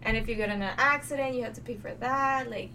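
A woman from New York City chats as a podcast co-host in a fairly high voice, loose and colloquial in her phrasing. She is a bit distant from the mic, with faint background noise. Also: fast talker, sharp tone. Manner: informal, colloquial. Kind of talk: casual conversational podcast chat.

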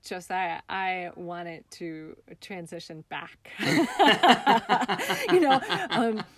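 Woman, theater director in conversation, slightly low register, earnest and expressive. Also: very slow, crowd noise. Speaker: theater director and actor being interviewed. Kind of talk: reflective interview conversation.

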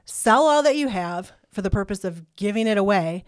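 A woman's voice: mid-range voice, animated, normal speed, smooth, no room sound, clean studio sound. Chatty older woman podcast host. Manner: chatty, laughs and jokes, talks through a cough drop. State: has been sick, clears throat.

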